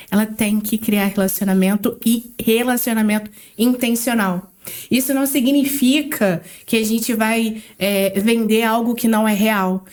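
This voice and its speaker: A woman with a Rio de Janeiro accent, co-hosting a casual podcast and talking with animated emphasis. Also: fairly high pitch. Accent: Rio de Janeiro Portuguese. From Rio de Janeiro, Brazil. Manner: animated, emphatic, laughs easily. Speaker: podcast co-host.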